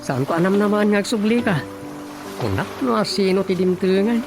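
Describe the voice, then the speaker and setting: Guy playing grumbly exclaiming village man in a radio comedy, gravelly voice, music under it. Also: sits high, lively intonation, moderate pace. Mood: surprised. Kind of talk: comedy radio drama acting in Ilocano.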